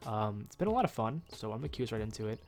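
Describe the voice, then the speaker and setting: A man's voice: fairly low pitch, big pitch swings, racing. A young man with a nasal, stuffed-up voice giving rambling, casual commentary while he plays a game at home, full of ums and uhs. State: nasal from a cold. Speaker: gaming YouTuber.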